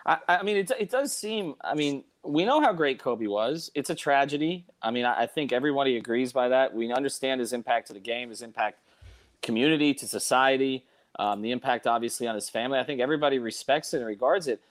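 A man hosting an unscripted sports panel, often repeating words as he works toward his point. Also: medium pitch. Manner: halting, repeats words as he thinks. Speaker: host of a sports panel show.

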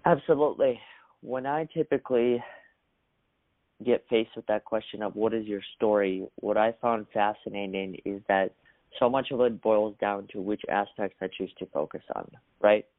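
A young man from Russia, a podcast guest telling his story in a measured, earnest way. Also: fairly low pitch, fairly even intonation, no echo. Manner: measured, earnest, occasional restarts. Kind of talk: reflective storytelling. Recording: dry, phone-like narrow sound.

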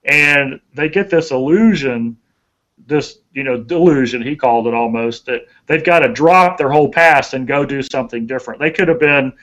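A man's voice: mid-range voice, moderate pace, fairly bright tone, low-quality recording. A man giving conversational motivational advice as a podcast co-host, in a folksy, earnest way, repeating phrases for emphasis.

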